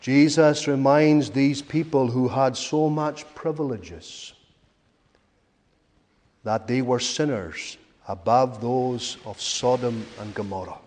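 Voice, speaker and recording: A man preaching a sermon in an emphatic, rhetorical way, with repeated phrases and dramatic pauses. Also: mid-range voice, a little mellow. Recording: long reverberant hall echo.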